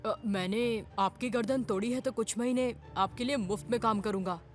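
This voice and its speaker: High-pitched cheeky cartoon boy, voiced by a woman. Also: normal speed, soft background music, close to an everyday voice. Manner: playful, exclamatory. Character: cheeky young boy, playful prankster. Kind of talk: Hindi cartoon dub acting.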